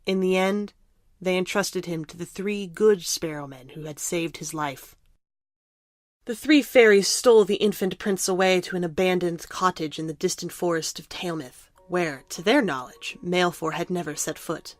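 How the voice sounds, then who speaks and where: A woman's voice: fairly low pitch, moderate pace, dry recording. A woman narrating a scripted fantasy audio drama in storybook style. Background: music.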